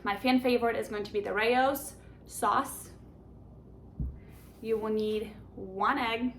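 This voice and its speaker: A woman with a Polish accent hosts a home cooking demonstration, walking through each step with chatty enthusiasm. Her voice sits a little high. The recording is echoey, with clear background noise from the kitchen around her. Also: fairly even intonation, slow. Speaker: cooking show host.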